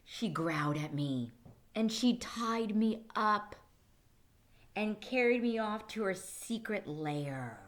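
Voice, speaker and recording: A woman's voice: mid-range voice, lively intonation, slow. Woman reading as an indignant little storybook beast. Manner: dramatic, aggrieved, emphatic. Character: small furry male beast, indignant, self-pitying and theatrical.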